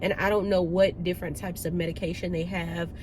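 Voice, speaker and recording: A woman's voice: on the low side, flat delivery, fairly quick, darkish tone. A woman with a nasal, stuffed-up voice from a cold, chatting informally and slangily to viewers as a vlog host. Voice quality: nasal, congested. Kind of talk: casual chat to viewers. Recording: noisy car ambience.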